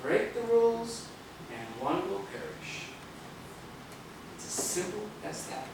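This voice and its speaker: Echoey, pompous corporate tyrant. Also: male voice, sits high, animated, full character voice. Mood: condescending, smug. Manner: pompous, lecturing, deliberate pauses. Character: menacing, smug corporate tyrant and disapproving father. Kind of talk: theatrical stage acting. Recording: distant, echoey theater videotape with noise.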